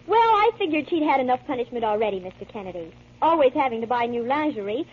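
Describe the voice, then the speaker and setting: A woman playing a chatty, mock-serious character in a scripted 1940s radio soap advertisement. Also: high-pitched. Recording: tinny, phone-like old radio broadcast.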